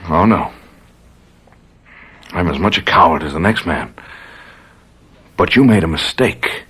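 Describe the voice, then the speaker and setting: An actor playing an emotional, stubborn captive husband under torture in an old-time radio drama. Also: male voice, low-pitched, expressive, unhurried, fairly bright tone, husky, exaggerated voice. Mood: anguished, defiant.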